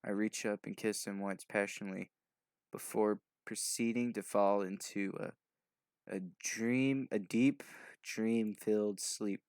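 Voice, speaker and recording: Low, creaky-voiced man reading aloud. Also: steady tone, unhurried, a little mellow, no room sound. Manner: stumbling over words, mumbled skimming; offhand asides. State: sleep-deprived. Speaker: video host doing a fanfic reading. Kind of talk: loose, improvised reading aloud.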